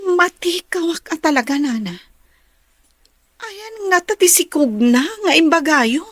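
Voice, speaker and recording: A woman's voice: very high-pitched, animated, a little slow, no room sound, studio quality. A woman acting a concerned young woman in an Ilocano audio drama. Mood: hesitant, uneasy.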